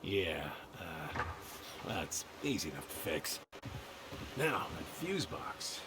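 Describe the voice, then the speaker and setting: A deep, slow man's voice as a gruff, awkward older handyman. There is clear noise behind him. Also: very animated, heavily acted. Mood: casual. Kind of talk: video game voice acting.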